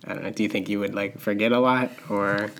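A man with a fairly low voice interviewing someone in an easy, conversational way. He asks curious follow-up questions and keeps the talk moving with short affirming replies. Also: fast talker, a little hiss or hum. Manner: brief encouraging backchannels, relaxed curious questions.

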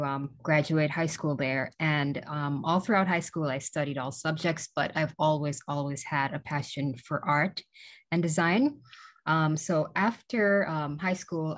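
Low-pitched chatty woman guest in her forties, from Guyana, talks freely with fillers. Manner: chatty, many "um" fillers, laughs easily. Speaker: guest, small business owner. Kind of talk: casual interview.

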